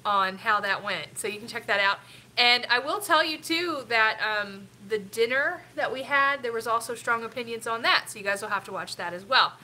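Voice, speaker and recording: A woman's voice: fairly high pitch, normal speed, sharp tone. A middle-aged woman with a stuffy, sniffly nose, rambling casually with lots of ums while hosting a family live stream. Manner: rambling, chatty, with frequent ums.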